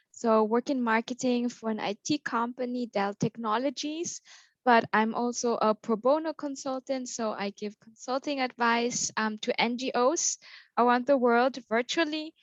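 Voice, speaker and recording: A woman's voice: a little high, no room sound. Upbeat young Austrian-born woman guest. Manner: fluent, upbeat, many fillers like "um" and "like". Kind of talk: casual interview. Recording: slightly dull, lo-fi call audio.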